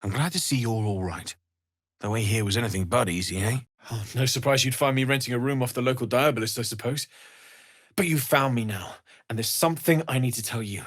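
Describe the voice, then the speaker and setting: A man playing an earnest, kindly blacksmith in a video game, weary from surviving the hells, speaking with gentle, understated sincerity. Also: medium pitch, fairly quick, close to an everyday voice. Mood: wistful warmth. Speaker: video game character actor. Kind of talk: acted video game dialogue.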